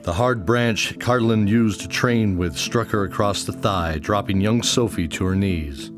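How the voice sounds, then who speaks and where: Deep-voiced male fantasy narrator. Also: unhurried, music in the background. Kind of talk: scripted fantasy audio drama narration.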